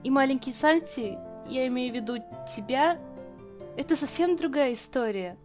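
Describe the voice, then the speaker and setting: A woman's voice: sits high, lively intonation, normal speed, over music. A woman voicing a nerdy, shy female lizard scientist in a fan comic dub, giggly and hesitant. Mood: playful.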